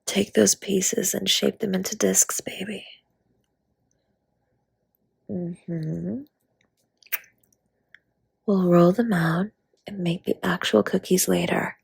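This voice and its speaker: A woman playing a flirty, doting dragon baker spouse in an intimate romantic audio roleplay, speaking in a husky, breathy, teasing way that often drops to a whisper. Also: mid-range voice, fairly even intonation, slow, long pauses, no echo, studio quality, heavily acted. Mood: flirty, tender.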